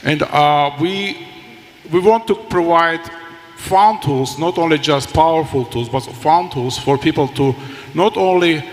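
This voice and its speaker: A man on a conference panel whose first language is Armenian, talking in a halting way with many restarts. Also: on the high side. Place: large conference hall. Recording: hall reverb, faint noise.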